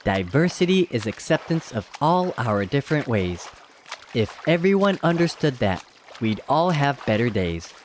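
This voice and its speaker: A storybook reader giving scripted children's narration in an expressive, measured rhyming rhythm. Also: medium pitch, noisy background. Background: background music.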